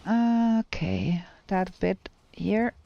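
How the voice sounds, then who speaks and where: German-accented woman streamer, unhurried, smooth clear voice on dull lo-fi audio, chatty gameplay commentary. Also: mid-range voice, sing-song. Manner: slow, thinking aloud while playing. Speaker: game streamer. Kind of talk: casual gameplay commentary with chat replies.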